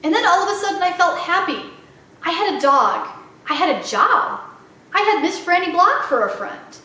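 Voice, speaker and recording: A woman reading a children's book aloud as an expressive storyteller. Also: extremely high pitch, moderate pace, far from the mic. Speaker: library read-aloud storyteller. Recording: very echoey room, away from mic.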